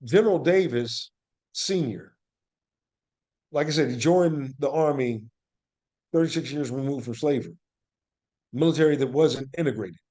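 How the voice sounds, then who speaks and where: A man's voice: mid-range voice, normal speed, pauses often, dark tone. A man answering as an interview guest, speaking in a reflective, conversational way and explaining earnestly with emphatic repetition. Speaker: interview guest, actor and playwright.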